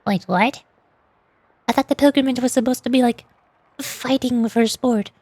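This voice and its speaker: A woman streaming a game with playful, animated reactions and commentary while music plays. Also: a little high, moderate pace, no room sound. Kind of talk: game livestream, voicing characters and reacting.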